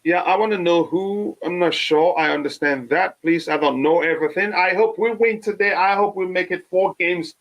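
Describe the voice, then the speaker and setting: Man hosting a halting fan stream. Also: on the high side, dull audio. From Nigeria. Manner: halting, many "uh" fillers and restarts. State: recovering from a cold. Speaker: fan channel host. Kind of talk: live-stream chat, reading viewer comments.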